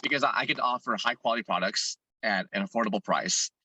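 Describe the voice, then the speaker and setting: A man with a hoarse voice, a fitness content creator answering interview questions earnestly, thinking aloud and restarting his sentences. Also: lowish voice, fast, sharp tone, dry recording, poor audio.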